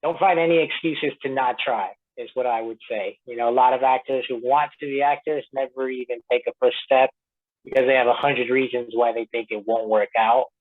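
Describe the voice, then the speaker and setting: A man's voice: mid-range voice, fairly even intonation, brisk. A man from Florida, an interview guest, giving casual conversational answers over a narrow phone-like connection. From Tampa Bay, Florida, United States; born in Puerto Rico. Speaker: actor being interviewed. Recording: tinny narrow-band phone line.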